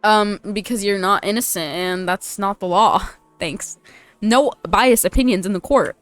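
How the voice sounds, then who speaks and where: A woman's voice: medium pitch, unhurried, soft background music. A woman giving casual, slangy, teasing commentary as she plays through a game. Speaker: streamer reacting to a game.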